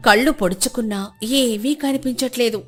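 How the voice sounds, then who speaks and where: Female storyteller, slightly high voice, scripted moral-tale narration with music underneath. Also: moderate pace.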